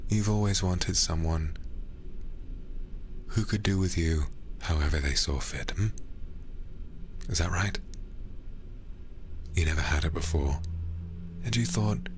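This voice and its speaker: Male actor as demon lord, deep pitch, breathy whispering, unhurried delivery, mocking, menacing, ASMR roleplay, faint background noise. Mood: smug, probing. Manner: breathy whispering, condescending, deliberate drawn-out phrasing.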